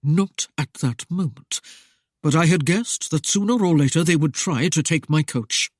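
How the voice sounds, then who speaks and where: A man's voice: on the high side, unhurried, no room sound, studio quality. A man voicing an icy, curt nobleman. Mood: cold. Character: cold, curt, aloof nobleman. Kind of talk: audiobook character voice.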